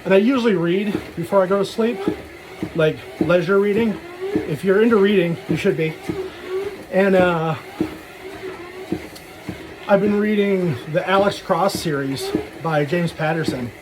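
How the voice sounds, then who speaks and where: A man's voice: high voice, a little slow, pauses often, background noise. A man casually rambling through a personal vlog, dropping self-deprecating, jokey asides. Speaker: vlogger. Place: talking while out walking.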